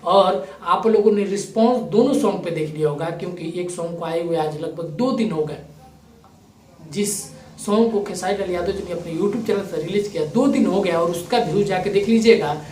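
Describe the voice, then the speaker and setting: A man's voice: high-pitched, moderate pace, darkish tone, slight background noise. A man giving opinionated video commentary to viewers in an emphatic, accusatory manner. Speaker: YouTube commentator. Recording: roomy echo, distant microphone.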